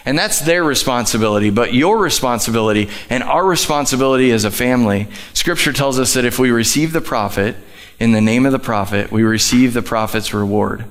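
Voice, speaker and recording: A man's voice: mid-range voice, fairly quick, fairly bright tone. A man speaking earnestly as the pastor, introducing guest speakers to his church. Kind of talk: sermon. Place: church sanctuary. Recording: live church hall with long reverb.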